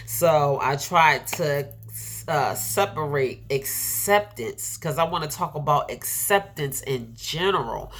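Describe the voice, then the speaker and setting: Earnest low-voiced woman preaching, congested. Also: fairly bright tone. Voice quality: nasal, congested. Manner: earnest, repetitive, with laughs and filler words. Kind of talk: inspirational sermon.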